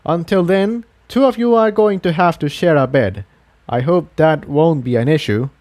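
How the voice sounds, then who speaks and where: A man's voice: a little high, normal speed, a little mellow, voice pushed up, lightly acted. A man voicing a devout, courteous pegasus townsman who explains things earnestly and formally in a fantasy audiobook. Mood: apologetic, reassuring. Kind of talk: acted dialogue in fan fiction audiobook.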